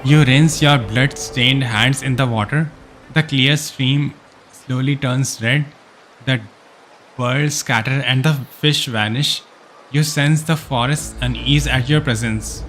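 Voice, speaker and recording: A man's voice: medium pitch, steady tone, measured pace, a bit bright, poor audio. Male game streamer reading aloud over music. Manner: stumbles over words and corrects himself while reading. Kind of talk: live let's play, reading game story text aloud with casual asides.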